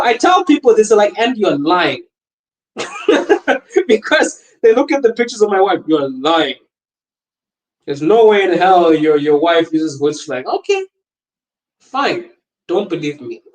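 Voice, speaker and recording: A man's voice: a little high, poor audio. A young man from Botswana hosting a casual livestream podcast, talking loosely, laughing often and reading viewer comments. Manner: animated, laughing often, wandering and talkative.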